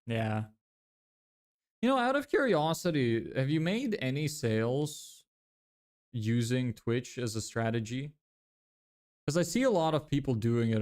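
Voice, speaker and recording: A young man in his twenties from Lithuania talks through his plans on a livestream, stopping to answer viewers as their questions come in. His voice is smooth and clear at an ordinary middle pitch. He thinks aloud and often corrects himself partway through a sentence. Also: a little mellow. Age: 20s. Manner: thinks aloud, self-correcting, frequent fillers. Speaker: livestreamer building a business. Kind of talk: casual livestream chat reading viewer questions.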